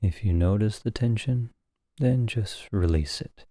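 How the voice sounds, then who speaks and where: A man leading a guided meditation as a teacher, speaking calmly and gently in short phrases with long pauses. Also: fairly low pitch, dry recording, studio quality.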